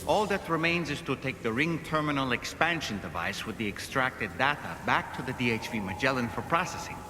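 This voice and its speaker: An actor playing a brisk, encouraging male companion guiding a mission in a video game. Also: mid-range voice, animated, background noise, natural voice. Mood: satisfied. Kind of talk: scripted game companion commentary. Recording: loud game music underneath.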